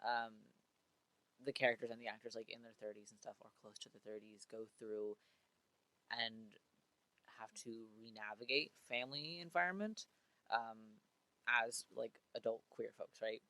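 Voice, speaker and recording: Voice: mid-range voice, moderate pace, bright, forceful tone, rough recording. A man about to turn twenty-one co-hosting a podcast in a small studio, talking casually and playfully, often checking in with "right?". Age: 20s. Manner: playful, ends points with "right?". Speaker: podcast co-host. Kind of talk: casual chat. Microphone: studio mic on stand.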